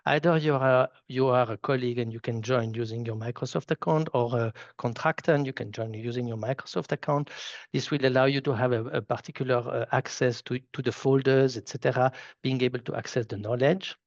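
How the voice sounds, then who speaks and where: Man, swiss french accent, gravelly voice, dull lo-fi sound, halting software demo. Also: medium pitch, steady tone, no echo. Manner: halting, repeats words while clicking through. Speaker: solution architect giving a software demo. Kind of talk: live product demo walkthrough.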